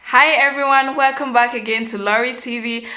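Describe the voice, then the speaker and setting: A young woman from Cameroon, giving earnest step-by-step advice in a vlog, often pausing on "um" and restarting. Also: fairly high pitch, fairly even intonation, bright, forceful tone, faint music behind. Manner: earnest, explanatory, frequent um fillers and restarts. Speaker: vlogger.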